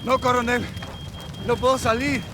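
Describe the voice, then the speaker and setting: An actor with a Mexican accent playing a loyal special forces second-in-command in a game, reporting tersely and urgently. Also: male voice, extremely high pitch, steady tone, normal speed. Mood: strained, urgent. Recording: noisy vehicle and action ambience.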